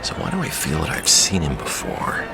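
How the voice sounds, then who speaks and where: Low, creaky and hugely expressive, this is an uneasy, wry man in a radio play. He sounds suspicious and hesitant, with music behind him. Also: normal speed, exaggerated voice. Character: troubled, wry male jazz pianist, uneasy and suspicious. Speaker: actor in a radio drama. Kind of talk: naturalistic radio drama acting.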